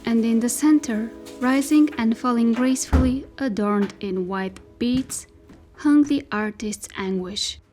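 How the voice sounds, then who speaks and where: A woman performing as the storyteller of a dystopian folk tale, reading scripted literary narration in a measured, descriptive way. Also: on the high side, mellow, faint music behind, natural voice.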